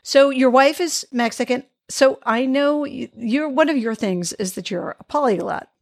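A woman's voice: high voice, normal speed, vocal fry, no room sound. Female interview host, born in the United States; enthusiastic, affirming, long-winded questions, dry close recording. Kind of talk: casual interview conversation.

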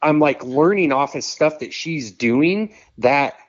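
A man in his thirties, a podcast guest, talking casually in a quick, rambling way full of "like" and "you know.". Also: a little high, animated. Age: 30s. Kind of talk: casual conversation. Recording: dull, muffled sound.

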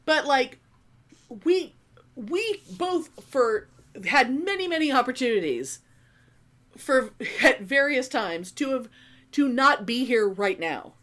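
A woman's voice: high voice, takes it slowly. A woman in her fifties chatting casually as a podcast co-host, swearing freely and telling stories with energy. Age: 50s. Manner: profane, animated storyteller. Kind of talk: casual chat.

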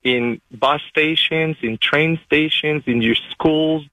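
A man, calling in to a radio show and speaking fast and emotionally, with many halting restarts. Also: a little high, no room sound, phone-quality sound. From Israel. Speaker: caller. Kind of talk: call-in testimony.

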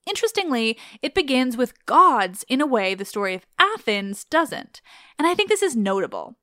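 A woman's voice: high-pitched, sing-song, moderate pace, a bit bright, no room sound, studio quality. A woman hosting a podcast, commenting on mythology casually with wry, sarcastic asides. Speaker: podcast host.

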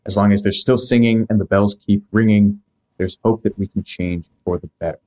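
A man's voice: on the low side, steady tone, fairly quick, darkish tone, low-quality recording. A man hosting a podcast, reading scripted narration broken up by casual asides. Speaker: podcast host.

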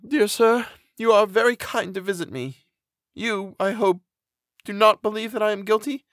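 A gentle, doomed young woman from a classic novel, played by a male reader, pitched well up from a man's talk. She is mournful and resigned, speaking with pious tenderness in formal, old-fashioned phrases. The recording is dry and close. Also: normal speed, dry recording. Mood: pleading. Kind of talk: dramatic audiobook character reading.

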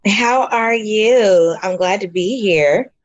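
A young woman, a university student, giving brief, soft-spoken answers as a podcast guest. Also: mid-range voice, slow, dull audio. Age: young adult. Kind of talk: casual podcast interview.

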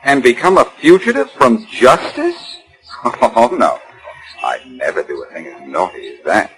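Lively wisecracking 1940s radio detective. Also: male voice, medium pitch, unhurried, poor audio. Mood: teasing. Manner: flippant, sarcastic. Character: wisecracking, cocky amateur detective, quick and unflappable. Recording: old 1940s radio recording with music.

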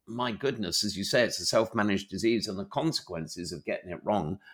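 A middle-aged man hosting a conversational interview podcast, engaged and expressive in how he puts his questions. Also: fairly low pitch, brisk, slightly rough.

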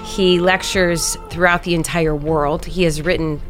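A woman's voice: on the low side, fairly even intonation, unhurried, faint music behind. A woman hosting a podcast as a life coach, friendly and encouraging as she asks her guest questions.

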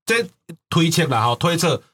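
A man's voice: on the high side, big pitch swings, moderate pace, a bit bright. A man with a Taiwanese accent hosting a casual chat in a folksy, jokey way. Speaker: podcast host.

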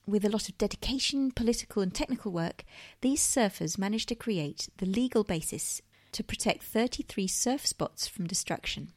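A woman's voice: medium pitch, animated, normal speed, few pauses, darkish tone. A woman hosting a podcast, first reading a prepared introduction, then interviewing a guest with short encouraging replies. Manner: reads the intro steadily, then chats with encouraging fillers. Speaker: podcast host.

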